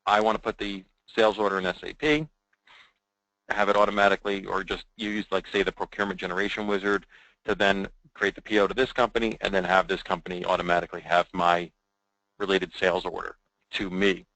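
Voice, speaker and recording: A man with a deep voice and a crisp, forward tone presents a software demo. He talks informally and conversationally, as if walking colleagues through slides. The sound is close and dry. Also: little pitch movement, fairly quick, no room sound, poor audio. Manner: conversational, checking in with "right?".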